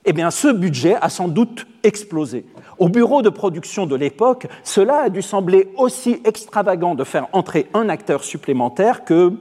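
A man whose first language is Arabic, a theatre director giving a measured, eloquent spoken essay. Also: high voice, big pitch swings, warm tone. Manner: measured, eloquent, deliberate phrasing. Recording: long hall reverb.

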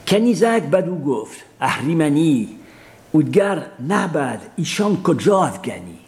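A man with a Persian accent giving an academic seminar lecture, halting often with fillers and restarts. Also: fairly high pitch, sing-song, a little mellow.

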